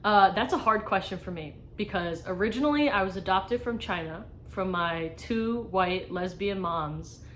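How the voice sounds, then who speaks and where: Young woman, casual vlog talk, rambling with fillers, mid pitch, light background noise. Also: normal speed, hardly any pauses. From New York. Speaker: small business artist. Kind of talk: casual vlog answering tag questions.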